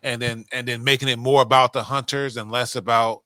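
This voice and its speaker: A man with a bright, punchy voice chats as a podcast co-host. He is informal and slangy, doubling back on phrases, in a very dry recording. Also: mid-range voice, little pitch movement, brisk, no echo. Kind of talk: casual movie discussion chat.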